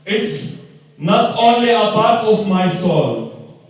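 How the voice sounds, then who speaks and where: Slow, earnest man in an echoey room. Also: high voice, animated. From Lebanon. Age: 30s. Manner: slow, earnest and reflective, with restarts. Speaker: storyteller. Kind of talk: personal story read aloud. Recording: very echoey room, voice far from mic.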